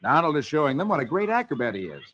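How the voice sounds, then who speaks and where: A man's voice: a little high, racing, slight background noise. A man playing a jolly reader of newspaper funnies in 1940s radio style, theatrical and singsong, on an old muffled recording. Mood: jolly, lively. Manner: animated, singsong, exaggerated announcing. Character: jolly Comic Weekly Man reading funnies to a child. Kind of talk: 1940s radio storytelling, theatrical scripted narration.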